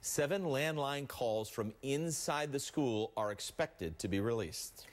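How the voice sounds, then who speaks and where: A man's voice: medium pitch, animated, a little slow. An American man anchoring morning TV news, mixing read copy with casual banter.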